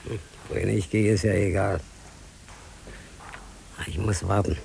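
An actor playing a desperate, weary older unemployed man in a radio play, brooding in halting, repetitive phrases. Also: deep voice, fairly even intonation, gravelly, darkish tone, exaggerated voice. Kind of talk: German radio play acting. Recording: noisy old radio play recording.

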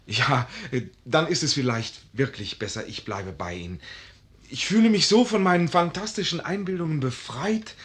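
An actor playing a dreamy, awkward young student in a German radio play, earnest, polite and a little hesitant. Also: male voice, a little high, expressive, normal speed, a bit bright. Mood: hesitant, relieved.

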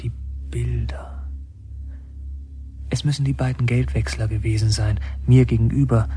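A male actor plays a reflective, haunted narrator telling his own story in a literary radio drama. The voice is warm and dark at a middle pitch, deliberate and confiding, with an intense edge. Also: lightly acted.

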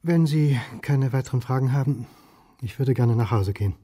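A husky, dark-toned middle-aged man played by an actor as a weary academic in a radio drama. He is guarded and terse, understating everything. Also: medium pitch, normal speed, close to an everyday voice. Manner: terse, flat denials, understated. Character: weary, guarded history lecturer under suspicion. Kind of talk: radio play acting, naturalistic.

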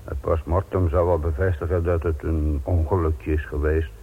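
Deep, husky older police inspector. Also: male voice, level tone, moderate pace, heavily acted. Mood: resigned, relieved. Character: weary, principled police inspector near retirement. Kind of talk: radio drama acting. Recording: muffled, phone-like old radio recording.

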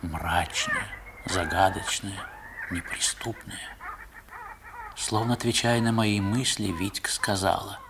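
A man's voice: fairly low pitch, wildly swooping pitch, slow, noisy background, heavily acted. A man narrating a 1960s radio play as a grown storyteller recalling boyhood, in a dramatic literary manner, on an old recording. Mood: awed, brooding.

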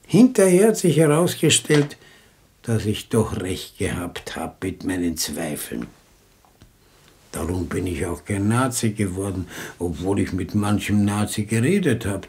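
An actor playing a skeptical, stubborn Viennese caretaker in a German radio play, deliberate and ruminating, with a Viennese accent. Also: male voice, lowish voice, a little mellow.

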